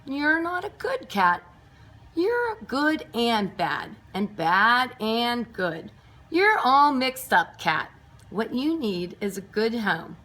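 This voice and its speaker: A woman's voice: fairly high pitch, very animated, takes it slowly, sharp tone, exaggerated voice. A woman voicing a kindly, fretful cat lover from a children's storybook, with an emphatic, sing-song delivery. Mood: gently scolding.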